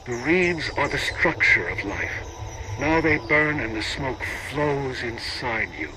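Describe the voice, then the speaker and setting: Clear background noise surrounds this solemn spiritual guide from a video game. He is an alien mystic with a mid-range male voice. He gives instruction calmly, in measured, ceremonial phrases. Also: mid-range voice. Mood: solemn, serene. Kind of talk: scripted game dialogue.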